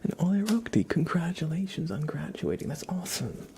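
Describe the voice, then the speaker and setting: A young adult man speaking softly and gently as an ASMR livestreamer, half whispering as he chats casually to viewers. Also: a little high, big pitch swings, brisk, a little hiss or hum. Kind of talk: soft-spoken ASMR, casual chat with viewers.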